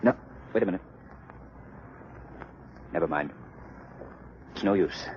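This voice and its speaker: An actor playing a shrewd, composed amateur sleuth in an old-time radio crime drama, speaking in a clipped, assured way. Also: male voice, low-pitched, slow pace. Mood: urgent, tense. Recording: muffled, noisy old radio recording.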